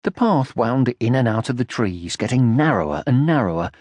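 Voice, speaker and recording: Guy reading a kids audiobook, super expressive, voice goes up and down loads, no echo. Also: medium pitch, moderate pace. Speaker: audiobook narrator. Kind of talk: scripted children's story narration, expressive.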